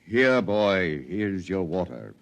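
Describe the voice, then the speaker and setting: A man's voice: sits low, sing-song, slow pace, vocal fry, highs cut off, heavily acted. A man playing a solemn old prophet-like leader of the Martians in a 1950s radio drama, grave and oratorical. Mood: solemn, gentle.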